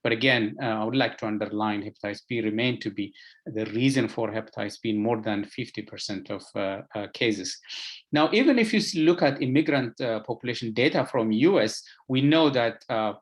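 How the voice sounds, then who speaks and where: A man with an Ethiopian accent gives a medical lecture as an expert, speaking haltingly with frequent filler sounds and repeated words. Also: mid-range voice, expressive. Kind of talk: lecture presentation to health professionals.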